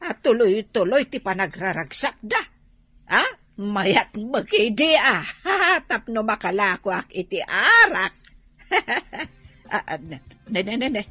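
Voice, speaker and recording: A nosy, gossipy Ilocano canteen keeper in a radio comedy, bright and sharp, with slow drawled exclamations. The recording is dry, with faint music behind. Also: female voice, medium pitch, very animated, husky, no room sound, exaggerated voice. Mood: gleeful, scheming. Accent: Ilocano, northern Philippines. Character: chatty, nosy female village canteen storekeeper, eager to sell. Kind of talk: comedy radio drama acting.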